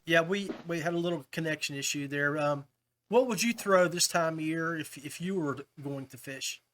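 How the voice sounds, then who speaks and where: A man's voice: a little high, moderate pace, a bit bright, slight background noise. An American man hosting a casual fishing podcast, chatting with guests in a friendly, chummy and appreciative way. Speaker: podcast host.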